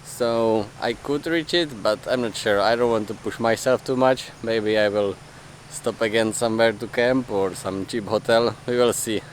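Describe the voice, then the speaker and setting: A man talking casually and unscripted as a travel vlogger, haltingly thinking aloud as he goes. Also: on the low side. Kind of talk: casual, unscripted vlog talk. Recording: noisy outdoor and in-car vlog audio.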